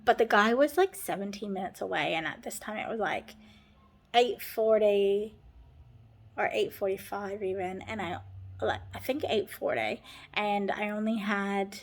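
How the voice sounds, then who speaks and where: Chatty Canadian woman with vocal fry. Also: medium pitch, unhurried. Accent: Canadian with a New Zealand tinge. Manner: rambling, heavy use of "like", earnest. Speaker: vlogger. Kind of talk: casual personal vlog chat.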